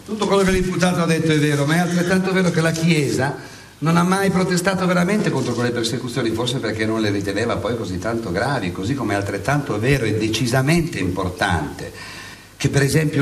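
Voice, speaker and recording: An actor playing a relentless, sardonic prosecutor in a satirical mock trial, declaiming insistently on stage. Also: male voice, a little high, roomy sound, distant mic, a little hiss or hum, natural voice. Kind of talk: theatrical courtroom monologue in radio theatre.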